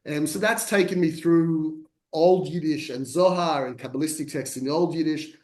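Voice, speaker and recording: A man's voice: fairly high pitch, moderate pace, a little mellow, reverberant. A man, a translator speaking as a guest over a video call, self-deprecating with many restarts. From Australia. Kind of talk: conversational talk.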